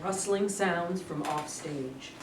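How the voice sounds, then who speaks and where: A woman with a slightly low voice reads stage directions for a play. Her scripted narration is recorded from a distance in an echoey room with clear background noise. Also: slow pace.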